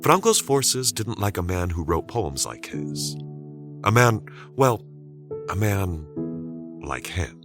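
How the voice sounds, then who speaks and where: A man narrating a scripted dramatic story deliberately, with suspenseful pauses. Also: low-pitched, big pitch swings, music in the background.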